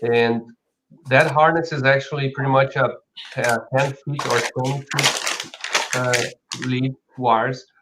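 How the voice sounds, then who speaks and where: A man's voice: mid-range voice, steady tone, slow pace, heavy background noise. A man from Brazil with a Brazilian accent, speaking as a company founder co-hosting an informal live product talk.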